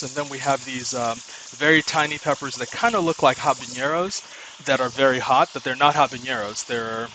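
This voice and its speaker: A man's voice: mid-range voice, bright, forceful tone, slight background noise, poor audio. A young man from Nigeria, chatting casually as he cooks in his home kitchen and explains each step. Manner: chatty, explains while working. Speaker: home cook. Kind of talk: casual cooking video.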